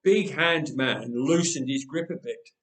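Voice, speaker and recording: A man reading a first-person story aloud in an expressive narrating style. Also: mid-range voice, unhurried, recorded from a distance. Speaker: story reader. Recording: roomy, echoing space.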